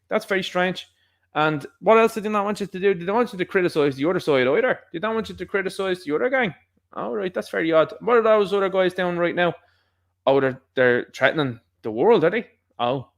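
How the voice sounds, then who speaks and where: An Irish man hosting his own show, talking through current events in a casual, animated monologue and acting out what other people say. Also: fairly high pitch. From Ireland. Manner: conversational and animated, mimicking others in asides. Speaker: host.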